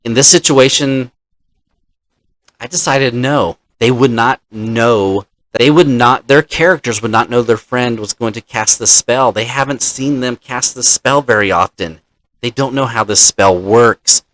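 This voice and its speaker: Guy game master storytelling about a session, takes his time explaining, middle pitch, dry close sound. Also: unhurried, fairly bright tone, dry recording, rough recording. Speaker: host, dungeon master.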